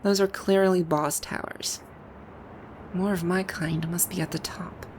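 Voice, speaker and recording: A woman's voice: lowish voice, steady tone, measured pace, a little hiss or hum, lightly acted. A woman acting a haughty, bewildered video-game villainess in an ASMR roleplay, with pompous, commanding, old-fashioned phrasing. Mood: wary, curious.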